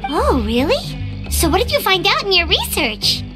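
Little fairy companion, squeaky high, cutesy, inquisitive, video game, music behind. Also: female voice, wildly swooping pitch, normal speed, exaggerated voice. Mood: curious. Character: tiny childlike floating fairy girl companion, chatty.

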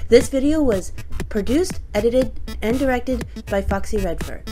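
A woman's voice: on the high side, moderate pace, warm tone. A woman presenter reading a scripted outro and credits announcement. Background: music.

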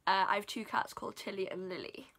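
A woman's voice: medium pitch, fairly even intonation, quick pace, a bit bright. A South Wales woman in her twenties, chatting bubbly and self-deprecating in a casual bedroom vlog, filmed on a camera at desk distance. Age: 20s. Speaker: vlogger. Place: small bedroom indoors.

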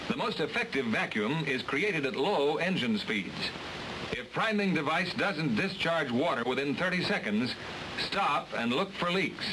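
A man's voice: a little high, unhurried. Man narrating an old training film. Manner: steady, matter-of-fact instruction. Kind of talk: scripted instructional narration. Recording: hissy old film transfer.

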